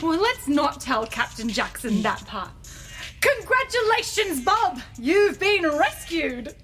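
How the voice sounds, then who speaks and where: A woman's voice: very high-pitched, very animated, takes it slowly, a bit bright, faint music behind, exaggerated voice. An actress playing an eager, dramatic female starship captain full of bumbling bravado in a comedic sci-fi audio drama. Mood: earnest, curious.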